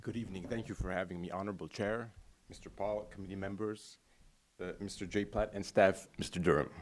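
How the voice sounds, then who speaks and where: A man from Los Angeles giving public comment at a city commission meeting, halting and digressive, with formal greetings. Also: low-pitched, steady tone, a little hiss or hum. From Los Angeles, USA.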